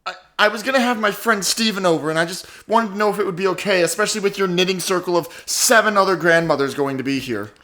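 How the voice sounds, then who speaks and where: A man's voice: high voice, quick pace, few pauses, pushed and bright. A man voicing a prepubescent grandson, eager and pleading in a casual boyish way, in an improvised comic radio play.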